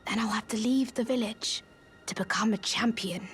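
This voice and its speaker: A woman voicing a small, earnest young living jar in a video game, childlike and wistful, speaking softly and confidingly. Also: on the high side, moderate pace, faint music behind, lightly acted. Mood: hushed, hopeful. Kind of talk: video game character acting.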